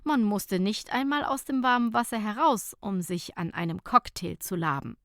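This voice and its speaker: A woman narrating a light short story as an audiobook, in measured, clearly phrased storytelling. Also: medium pitch, animated, dry recording, clean studio sound.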